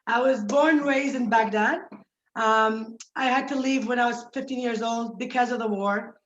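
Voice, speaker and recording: A woman in her forties from Iraq tells her story as a guest, upbeat and quick to laugh. Her voice sits a little high. The call audio is roomy and a bit dull. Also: steady tone, a bit bright. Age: 40s. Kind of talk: telling her life story. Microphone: video call.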